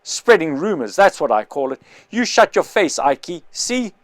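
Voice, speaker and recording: A man reading an audiobook, voicing a rough, bullying big man who shouts coarse threats. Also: on the high side, sing-song, normal speed, no room sound, clean studio sound, pitched above the performer's own voice.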